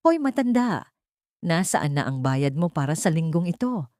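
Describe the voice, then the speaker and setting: A woman's voice: low-pitched, sing-song, normal speed, darkish tone, no room sound, studio quality. A woman voicing a menacing male syndicate thug who barks threats in an audiobook drama. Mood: threatening. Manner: barking, threatening.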